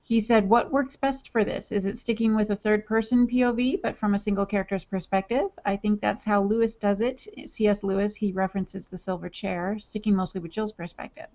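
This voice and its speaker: Mulher animada lendo comentários. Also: female voice, medium pitch, steady tone, fairly quick, few pauses, darkish tone. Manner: upbeat, reads comments aloud. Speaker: podcast co-host reading chat comments. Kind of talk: casual podcast chat. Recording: dull lo-fi audio.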